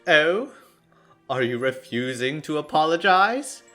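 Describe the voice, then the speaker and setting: A man's voice: a little high, sing-song, unhurried, fairly bright tone, faint music behind. An actor voicing a smug, scheming male noble with a goading, mock-sweet, haughty delivery in a visual novel scene. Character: smug, scheming young male noble villain, taunting and theatrical. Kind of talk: dramatic visual novel character acting.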